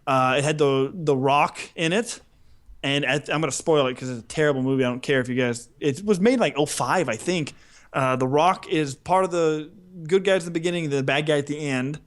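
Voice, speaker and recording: A man with a fairly high voice chats casually as a podcast co-host. He tells stories in an animated way and readily pokes fun at himself. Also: a little fast.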